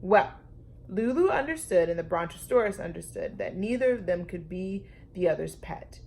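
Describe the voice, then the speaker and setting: A woman reading a children's story aloud with expressive, deliberate phrasing and dramatic emphasis. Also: lowish voice, vocal fry. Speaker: storytime reader.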